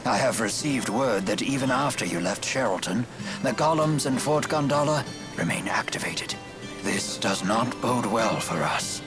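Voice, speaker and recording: A man playing a dignified, formal advisor in a video game, speaking gravely and with measured care. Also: medium pitch, animated, over loud music, natural voice. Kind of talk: video game English dub acting.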